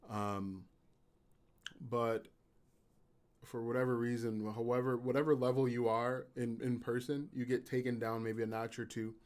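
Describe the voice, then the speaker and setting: A man hosting a casual live stream, with a raspy voice and an even-keeled, low-energy manner, halting with fillers. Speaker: YouTube channel host.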